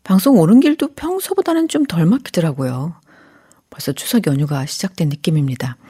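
Soft-spoken female radio DJ, gentle and chatty. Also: low voice, husky. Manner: soft, gentle and chatty, often drops to a whisper and laughs lightly. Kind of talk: radio show, poetry reading and reading listener texts. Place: radio studio.

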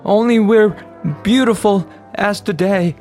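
A man's voice: high-pitched, measured pace, a little mellow, faint music behind, voice pushed up. A man voicing a cheeky, insistent, hungry schoolboy, the bold older brother in a storybook. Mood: cheeky, impatient. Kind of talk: storybook character voice.

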